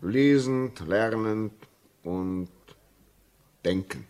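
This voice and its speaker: An actor playing a brooding, dutiful railway signalman in a 1950s German radio drama, speaking gravely and haltingly. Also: on the low side, a little mellow. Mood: reflective, resigned. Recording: muffled-edged 1950s radio drama recording.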